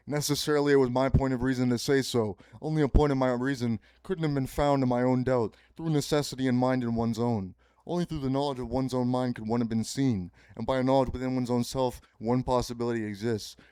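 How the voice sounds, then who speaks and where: A man's voice: medium pitch, fairly even intonation, a little fast, hardly any pauses, warm tone, smooth, dry recording. Man rambling grandly, dry close sound. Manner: grandiose, pseudo-philosophical preaching with sudden profanity; repetitive, circling phrasing. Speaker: podcast host. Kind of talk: rambling solo podcast monologue.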